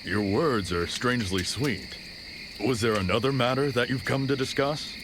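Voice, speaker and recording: An actor playing a courteous, wry retainer in a video game, teasing gently in formal speech. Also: male voice, mid-range voice, moderate pace, lightly acted. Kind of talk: dramatic video game cutscene acting. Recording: insects chirping, clear background noise.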